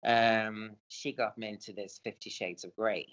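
Slow, filler-heavy man from Sweden, dull lo-fi recording. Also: lowish voice, steady tone, fairly bright tone. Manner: hesitant, many fillers and hedges. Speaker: author guest. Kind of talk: casual interview answers.

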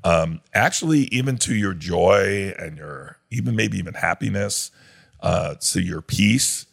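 A man with a very deep voice that is gravelly and husky. He talks loosely as a pastor on a podcast, circling his point with fillers and restarts. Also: lively intonation, a little slow, a bit bright. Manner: rambling, with many fillers and restarts. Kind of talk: casual podcast discussion. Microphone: podcast microphone.

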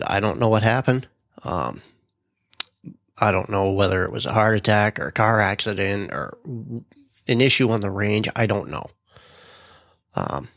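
A man's voice: lowish voice, no echo, studio quality. A man hosting a solo podcast, a little stuffed up from a cold, talking casually with frequent ums while reading out meeting notes. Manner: relaxed, many ums and uhs, reads out minutes. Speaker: podcast host.

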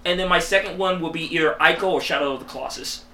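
Bright, high-voiced man in an echoey room. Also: very fast, distant mic, a little hiss or hum. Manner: excitable, teasing, swears freely. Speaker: podcast co-host. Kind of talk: casual geek chat.

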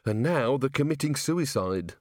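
An earnest young watch captain, acted out by a male narrator, formal and courteous in a storybook reading. His lines lift above an ordinary speaking pitch and move with lively intonation, in a close, dry sound. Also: normal speed, no echo, natural voice. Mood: grave.

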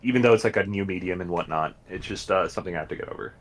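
A man speaks in a deep, somewhat nasal voice. He is a podcast co-host, chatting casually and using plenty of slang. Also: very fast.

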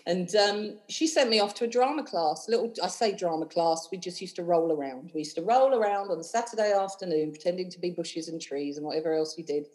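A woman's voice: on the low side, a little fast, few pauses, a little mellow, low-quality recording. A woman in her thirties with a London accent, chatting effusively as an interview guest, rambling with many fillers. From London, England. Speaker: cabaret host and singer, guest. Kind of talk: casual interview chat.

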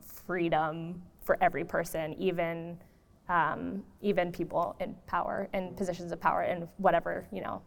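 Female podcast guest, slightly low pitch, earnest and reflective conversational delivery. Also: little pitch movement, moderate pace, vocal fry. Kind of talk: conversational discussion.

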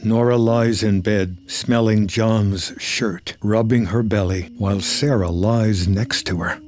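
A man narrating a film script in the present tense with measured, descriptive delivery over ambient sound. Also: on the low side, steady tone, a little mellow.

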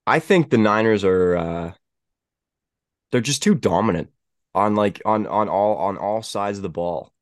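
A young man with a stuffy head cold, hosting a casual sports podcast and talking in halting bursts with many restarts. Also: on the low side, lively intonation, no echo, studio quality. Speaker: podcast host.

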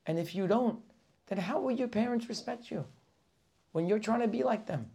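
Gravelly, impassioned Torah class teacher man. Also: high voice, normal speed, dark tone. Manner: impassioned preaching with rhetorical questions, dropping to near whispers. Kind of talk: weekly Torah class lecture.

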